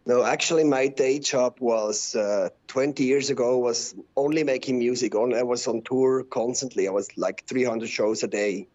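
A man from Switzerland with a Swiss German accent, a musician answering interview questions in halting, searching English. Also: medium pitch, steady tone, a little mellow, lo-fi sound. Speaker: musician and label owner, guest.

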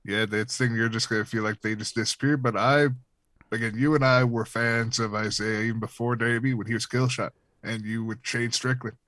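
A man talking casually as a podcast co-host, in an easygoing, measured way. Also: medium pitch, steady tone, fairly bright tone, no echo. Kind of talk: casual wrestling chat.

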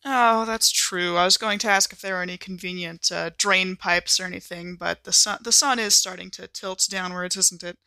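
A woman acts out a genteel, theatrical lady in an improvised tabletop roleplay. The tone is bright and crisp-edged, recorded dry and close. She muses in a proper manner with wry playfulness. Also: lowish voice, fairly even intonation, normal speed, hardly any pauses, no echo, rough recording. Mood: musing, genteel.

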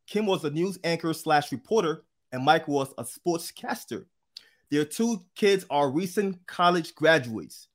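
A man's voice: on the high side, expressive, a little slow. A man hosting an interview podcast, upbeat and chatty, with frequent fillers and restarts. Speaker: podcast host.

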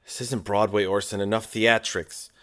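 A man's voice: fairly low pitch, flat delivery, normal speed, background noise, natural voice. A man playing a blunt, weary radio scriptwriter in an audio drama, sceptical and plain-spoken. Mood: weary, annoyed. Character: blunt, weary male radio scriptwriter standing up to his boss.

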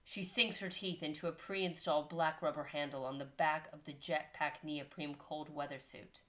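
A woman's voice: low-pitched, fairly even intonation, moderate pace, a bit bright. A woman co-hosting a casual podcast, reading a story aloud and stopping to chat about it. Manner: reads story text, breaks off to chat and laugh.

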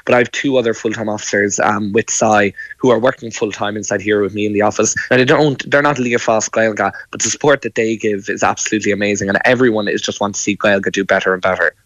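Man, Irish accent, young adult, enthusiastic, earnest, fairly low pitch, dry room. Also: fairly even intonation, fast, few pauses, fairly bright tone, no room sound, muffled recording. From Ireland, County Kerry. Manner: enthusiastic, earnest, uses fillers like um. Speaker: students' union officer. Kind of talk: radio interview guest.

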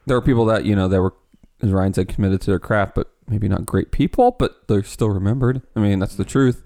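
A man's voice: on the low side, a little fast, creaky, mellow. A man in casual conversation, often restarting his sentences. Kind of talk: casual chat.

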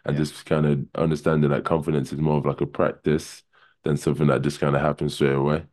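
Man, very deep voice, podcast guest, relaxed rambling chat. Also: fast talker. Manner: relaxed, rambling, many "kinda" and "you know".

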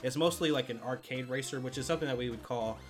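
A man's voice: mid-range voice, quick pace, soft background music. A man co-hosting a podcast in casual film discussion, chatty and loosely profane. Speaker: podcast co-host.

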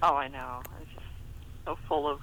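A woman's voice: very deep voice, normal speed, a little hiss or hum, poor audio. A middle-aged woman chatting casually as a guest author, telling stories in a chatty way. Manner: chatty, animated storytelling. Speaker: guest author being interviewed. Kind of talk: casual chat about writing.

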